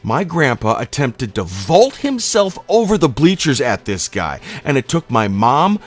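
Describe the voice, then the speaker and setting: Dramatic, animated male storyteller over music. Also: mid-range voice, unhurried. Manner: dramatic, profane, emphatic. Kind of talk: scripted comic personal storytelling. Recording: music playing under the voice. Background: background music.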